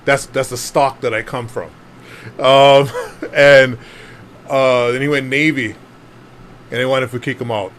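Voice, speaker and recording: Middle-aged island man, Hawaiian Pidgin lilt, easygoing panel storyteller who jokes at himself; average pitch, slight room hiss behind him. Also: steady tone, a little slow, pauses often, fairly bright tone. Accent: Hawaiian Pidgin-tinged English. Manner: chatty and self-deprecating, laughs often, many fillers. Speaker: panel speaker. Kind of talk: casual storytelling to a group.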